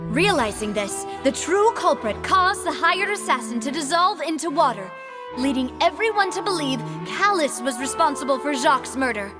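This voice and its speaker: A proud young woman leading a gang in a video game. Her high voice is resolute and eloquent, arguing earnestly. Music plays clearly behind her. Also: expressive, moderate pace. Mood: firm, reasoning.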